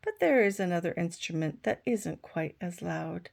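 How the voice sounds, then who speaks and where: A woman's voice: fairly low pitch, lively intonation, moderate pace, gravelly, darkish tone, heavily acted. Woman voicing a bubbly sheep bandleader. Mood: encouraging. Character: cheerful female sheep bandleader, friendly.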